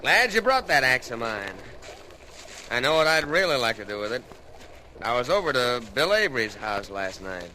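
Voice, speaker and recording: Tough, bright-voiced logger. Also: male voice, mid-range voice, very animated, moderate pace. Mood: grim. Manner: blunt, folksy, menacing understatement. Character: tough, determined young logger, a protective brother. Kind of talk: old-time radio drama acting. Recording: faintly noisy old radio recording.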